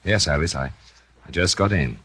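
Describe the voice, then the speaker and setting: An actor in a 1940s radio drama playing an educated, scheming doctor and unhappy husband, with polite, hesitant, formal diction. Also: male voice, low-pitched, animated, moderate pace, noisy background. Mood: uneasy, placating. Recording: old radio recording with music.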